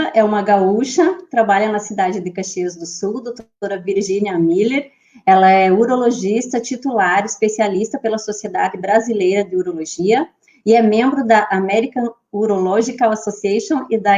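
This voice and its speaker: A woman's voice: mid-range voice, darkish tone. A woman hosting an online health panel, introducing guests and asking questions with many restarts. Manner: hesitant, with many restarts and fillers. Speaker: panel host.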